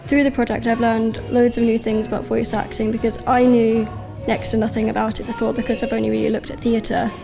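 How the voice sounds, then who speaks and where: A woman's voice: on the high side, level tone, fairly quick, vocal fry, over music. A young woman chatting casually in an interview as a student performer. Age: young adult.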